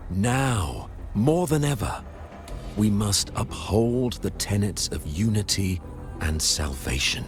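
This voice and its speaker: An actor playing a solemn, menacing, self-righteous priest in a video game, with grandiose preachy declamation. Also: male voice, fairly low pitch, heavily acted. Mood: solemn zeal. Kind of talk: theatrical video game voice acting.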